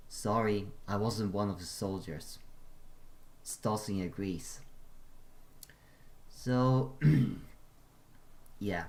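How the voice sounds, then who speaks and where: A man hosts a reaction video with offhand, unscripted remarks. His voice is on the low side and he talks very slowly, halting and rambling as he goes. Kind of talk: unscripted casual reaction commentary.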